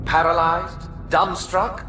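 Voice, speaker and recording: High, haughty alien priest. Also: male voice, flat delivery, takes it slowly, music in the background. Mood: mocking, indignant. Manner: pompous, theatrical, sneering. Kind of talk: dramatic video game cutscene acting.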